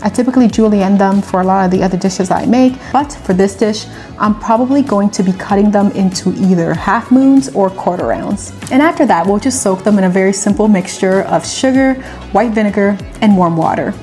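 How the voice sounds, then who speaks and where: A woman's voice: mid-range voice, a little fast, a little mellow. A woman hosting a cooking video, walking through a recipe in a chatty, conversational way with personal asides. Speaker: cooking channel host. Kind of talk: cooking tutorial with personal chat. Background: faint background music.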